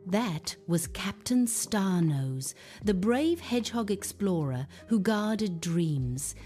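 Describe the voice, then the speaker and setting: A woman gently narrating a scripted bedtime story for children in a hushed, soothing, unhurried manner. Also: mid-range voice, animated. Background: music.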